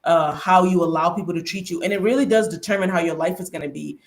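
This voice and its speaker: A woman's voice: lowish voice, fast. A woman giving conversational advice on a panel, earnest and emphatic, repeating key phrases.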